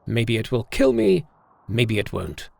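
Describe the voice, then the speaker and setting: A man playing a bold, comical hero of ancient legend who tastes herbs, with a gravelly voice and theatrical delivery. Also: mid-range voice, huge pitch swings, measured pace, darkish tone, full character voice. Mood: exasperated, resolute. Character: Shen Nong, bold, comical ancient herb-tasting hero.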